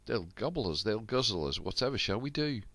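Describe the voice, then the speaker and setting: An older man voicing Stew, a fretful boy crewmate, in a high wailing voice. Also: big pitch swings, fairly quick, fairly bright tone, no room sound, exaggerated voice. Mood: panicky.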